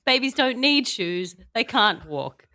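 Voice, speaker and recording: A woman's voice: on the high side, very animated, takes it slowly, pushed and bright, no echo. Wry woman hosting a comedy podcast, close and dry. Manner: wry, articulate, laughs often. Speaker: podcast host. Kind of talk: satirical comedy panel banter.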